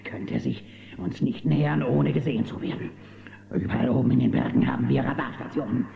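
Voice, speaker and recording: An actor playing an irritable, boastful outlaw boss with a rasping voice in a German children's audio drama. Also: male voice, medium pitch, fairly even intonation, fairly quick. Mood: menacing, boastful. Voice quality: gravelly, rasping. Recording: muffled phone-like band, echoey, faint noise.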